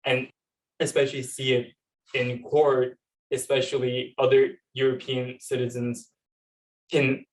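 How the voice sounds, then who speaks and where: This is a young man speaking at a panel event. His delivery is conversational and somewhat hesitant, and his pitch stays quite flat. He sounds some distance from the microphone, as in a live event recording. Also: a little slow, long pauses. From Turkey. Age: young adult. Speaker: panel speaker, law student and activist. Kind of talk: informal panel talk with personal storytelling.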